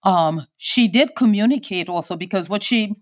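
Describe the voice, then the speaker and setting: A woman's voice: mid-range voice, no room sound, clean studio sound. Reflective older woman from Guyana. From Guyana and Trinidad. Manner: hesitant, reflective, many pauses and fillers. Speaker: guest. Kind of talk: personal interview.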